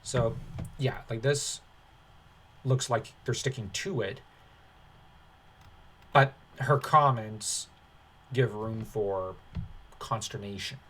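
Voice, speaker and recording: A man hosting a commentary channel, talking casually and haltingly, with a dismissive, sarcastic streak. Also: mid-range voice, bright, forceful tone, a little hiss or hum, poor audio.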